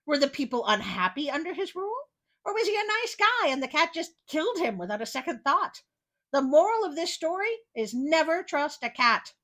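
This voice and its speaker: A woman reading fairy tales as a storytelling host, expressive, with wry and incredulous asides. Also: high voice, moderate pace.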